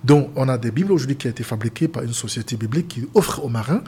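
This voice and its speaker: A man's voice: mid-range voice, lively intonation, fast, mellow, slightly rough. A man from Cameroon, a seamen's chaplain and pastor, speaking earnestly as an interview guest and often restarting his phrases. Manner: earnest, repetitive, stumbles and restarts.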